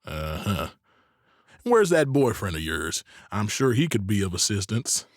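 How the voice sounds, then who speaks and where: An actor playing a gruff but kindly barkeep in an audio drama, wry and patient as he listens. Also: lowish voice, sing-song, unhurried, dry recording, clean studio sound. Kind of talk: dramatic dialogue in fiction podcast.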